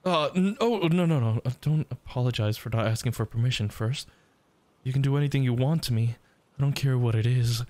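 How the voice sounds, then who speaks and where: A man voicing a desperate, lovesick, self-loathing young yandere in an intimate ASMR roleplay, halting and stammering. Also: medium pitch, mellow, no echo, lightly acted. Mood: panicked, pleading. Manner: halting, stammering, pleading, breathy sighs.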